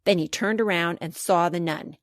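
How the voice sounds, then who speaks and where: A woman voicing an excitable young boy telling a dragon tale with dramatic emphasis for a children's storybook. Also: fairly low pitch, normal speed, no room sound, studio quality, natural voice. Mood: thrilled. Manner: dramatic, emphatic, building suspense.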